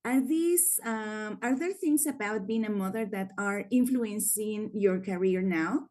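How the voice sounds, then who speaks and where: A woman interviewing a scientist, asking questions politely and in a measured way, with pauses filled by "um". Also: mid-range voice, a little mellow.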